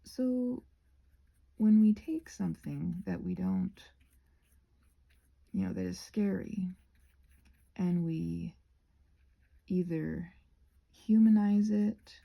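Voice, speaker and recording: A woman chatting in a rambling, halting ASMR style, soft-spoken and often slipping into whispers. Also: medium pitch, gravelly, highs cut off. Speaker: ASMR creator rambling while painting a craft project. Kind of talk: ASMR rambling casual chat.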